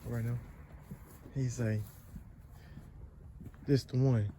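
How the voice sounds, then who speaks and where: A man's voice: mid-range voice, steady tone, very slow, mellow, rough recording. A man with an American accent hosting a vlog, chatting casually in informal, slangy speech. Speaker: host filming the vlog. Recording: faint background noise, live garage sound.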